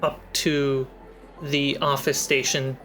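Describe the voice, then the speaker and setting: A game master narrating a tabletop adventure in a relaxed, descriptive way, prompting players. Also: mid-range voice, slow, some chatter behind. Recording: faint music behind.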